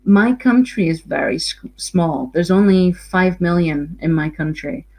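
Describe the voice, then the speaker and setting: A woman's voice: lowish voice, a little slow, a little mellow. Scottish lady researcher giving a talk online, earnest, lilting, lots of ums. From Scotland. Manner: earnest, lilting, with frequent ums and repeated words. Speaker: university researcher and speaker.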